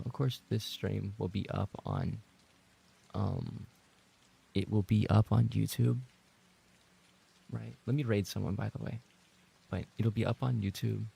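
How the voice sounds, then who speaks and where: Gravelly, husky man chatting on stream. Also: on the low side, mellow. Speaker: live streamer and voice actor. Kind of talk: casual livestream chat with viewers.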